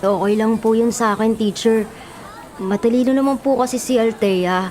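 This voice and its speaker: A woman's voice: on the high side, fairly even intonation, moderate pace, darkish tone, a faint crowd, a little hiss or hum, natural voice. A woman with a Filipino accent voicing a polite, earnest, downhearted young schoolboy in a radio drama. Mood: resigned, polite. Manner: respectful, childlike, earnest. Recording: music under the voice.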